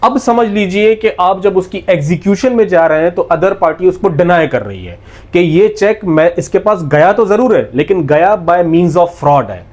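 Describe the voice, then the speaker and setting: Higher-pitched Pakistani man lecturing step by step. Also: animated, unhurried, a little mellow. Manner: didactic, step-by-step, emphatic restating. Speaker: law lecturer. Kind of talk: explanatory lecture mixing in English legal terms.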